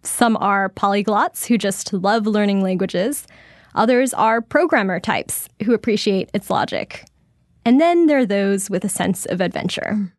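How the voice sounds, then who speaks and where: A woman narrating a radio feature in a calm, measured way, medium pitch, recorded close and dry in a studio with no room sound. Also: no echo. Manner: measured, clear delivery. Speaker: radio reporter. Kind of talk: scripted narration.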